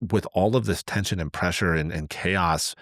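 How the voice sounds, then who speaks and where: Deep-voiced man, halting podcast talk. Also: fairly bright tone, no room sound, clean studio sound. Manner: halting, repeats words as he forms thoughts. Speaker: podcast co-host. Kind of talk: conversational podcast commentary.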